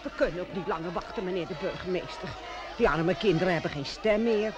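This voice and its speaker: An actor playing an urgent, complaining village butcher with an insistent manner in a radio play. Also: male voice, sits high, fast talker, a crowd in the background, lightly acted.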